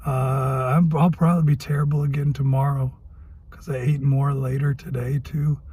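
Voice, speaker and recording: A man with a cold and a hoarse voice, rambling through a casual video diary and thinking aloud as he goes. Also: medium pitch, flat delivery, normal speed, mellow. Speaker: health vlogger.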